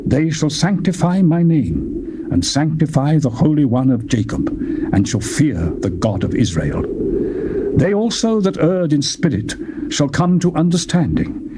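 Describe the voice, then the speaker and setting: A man's voice: fairly high pitch, sing-song, a little slow, heavy background noise. A man reading scripture as a dramatic narrator, solemn and declamatory, in archaic diction, on an old cassette tape. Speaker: Bible narrator.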